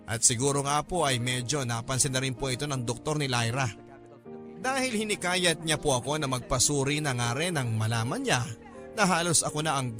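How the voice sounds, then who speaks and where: A man reading a first-person letter as a radio drama narrator, in an earnest, respectful storytelling manner. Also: mid-range voice.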